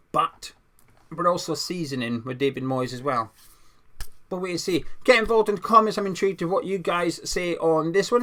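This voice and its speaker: A young man with a croaky voice, giving his football opinions in a casual, conversational monologue with some restarts as a channel host. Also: fairly high pitch, fairly bright tone.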